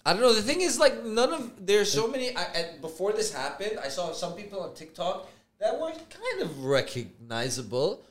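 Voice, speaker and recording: A man co-hosting a casual reaction chat, with a slightly high voice that swings widely in pitch. He laughs a lot and swears loosely, and his talk stays loose and animated throughout. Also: normal speed. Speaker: podcast co-host.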